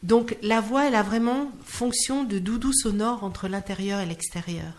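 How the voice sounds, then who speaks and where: Woman, mid-pitched, expressive anecdotal interviewee who voices the people in her stories. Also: normal speed. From Clermont-Ferrand, France. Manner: expressive storyteller, acts out quoted dialogue. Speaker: interview guest, singer working in hospitals. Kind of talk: animated anecdotal interview talk.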